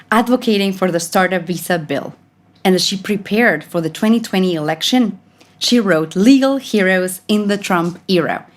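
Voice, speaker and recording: Enthusiastic female podcast host. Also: medium pitch. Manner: enthusiastic, some restarts. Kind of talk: podcast intro and interview questions.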